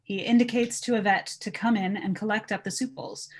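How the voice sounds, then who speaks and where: A woman's voice: medium pitch, fairly even intonation, a little fast. Woman narrating stage directions, mid-range voice. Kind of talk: scripted narration in a casual live table read.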